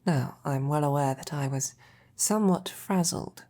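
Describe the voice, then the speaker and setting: A woman playing a devoted, tenderly teasing royal knight in an intimate roleplay audio, with breathy, often whispered, courtly speech. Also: sits low, warm tone. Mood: teasing, affectionate. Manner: slow, tender, courtly phrasing.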